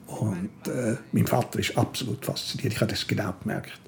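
An older man with a Swiss accent and a creaky voice, telling anecdotes in short phrases in casual conversation. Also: lowish voice, moderate pace. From Switzerland. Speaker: interview guest. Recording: clear ambient background noise.

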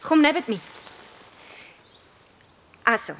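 Earnest young girl, Swiss German accent, very high pitch, concerned friend in a radio play. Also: expressive, slow pace, fairly bright tone, full character voice. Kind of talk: children's radio play acting.

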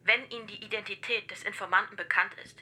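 An actress playing a stern, suspicious police commissioner in a radio drama, with a clipped, formal, distrustful manner. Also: female voice, mid-range voice, fairly even intonation, fast, pushed and bright, breathy edge.

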